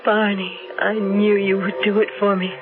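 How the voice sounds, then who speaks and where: An actress playing a tender, uncanny wife back from the dead in a radio play, entreating and dramatic. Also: female voice, mid-range voice, flat delivery, moderate pace, lightly acted. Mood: wondering, pleading. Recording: muffled old radio drama, music behind.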